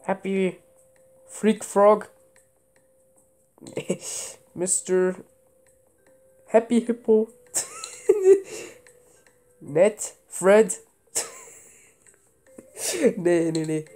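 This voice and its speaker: A woman's voice: medium pitch, huge pitch swings, drawn-out words, pauses often, warm tone, faint music behind. A woman with a cold giving offhand, casual commentary while playing a game at home. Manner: offhand, mixes English game terms. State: has a cold. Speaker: let's play gamer.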